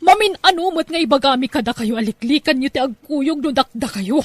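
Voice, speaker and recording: A woman's voice: high voice, animated, brisk, no echo. A middle-aged woman voicing a strict mother in an audio drama, scolding her daughter and the boyfriend. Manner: scolding, stern. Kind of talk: amateur audio drama acting.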